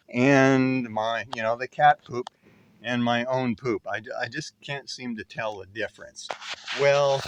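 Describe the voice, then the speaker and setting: An older man with a medium-pitched voice talks casually, like a vlogger telling a personal story. His manner is folksy and self-deprecating, and he rambles along loosely. The sound is dry, with very little room echo. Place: inside an RV.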